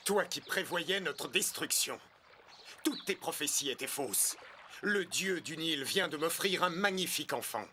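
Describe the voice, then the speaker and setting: Forceful, imperious Pharaoh, reverberant. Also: male voice, a little high, lively intonation, measured pace, a little hiss or hum. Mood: scornful. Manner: imperious, commanding. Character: tyrannical, proud Pharaoh of Egypt, adult man. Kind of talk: animated film dub acting.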